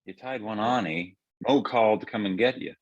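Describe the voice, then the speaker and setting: A man acting a brisk, pushy sidekick in a screenplay table read, with a rough-edged voice. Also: lowish voice, muffled recording, close to an everyday voice. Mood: urgent.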